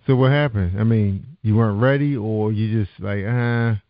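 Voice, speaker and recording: A man hosting an internet radio interview, encouraging his guest with steady affirmations. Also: fairly low pitch, normal speed, no room sound. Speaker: internet radio show host. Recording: dry, muffled lo-fi audio.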